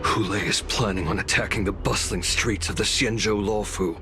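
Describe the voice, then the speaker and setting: A man's voice: lowish voice, steady tone, normal speed, close to an everyday voice. A man playing a grave, earnest warrior in a video game, reporting in a serious, urgent way. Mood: grave warning. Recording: music under the voice.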